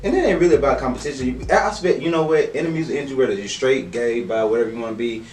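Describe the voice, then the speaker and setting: A young man, a rapper, talking about his music in a confident, animated, slangy way. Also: mid-range voice, racing, a little hiss or hum. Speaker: rapper, interview guest. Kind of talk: casual interview chat. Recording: echoey room, distant mic, faint music.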